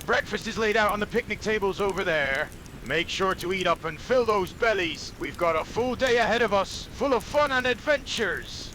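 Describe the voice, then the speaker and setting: A high-pitched, forceful and jolly gamekeeper acted by a man booms out cheerfully in an audio story. He is loud and hearty, with noticeable ambient noise behind him. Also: expressive, moderate pace. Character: jolly hearty half-giant male gamekeeper greeting campers.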